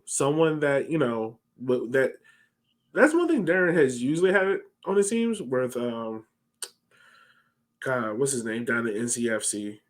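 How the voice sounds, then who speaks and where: An American man, a podcast host, casually discussing sports on a video call. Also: mid-range voice. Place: home room, on a video call. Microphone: headset on a video call.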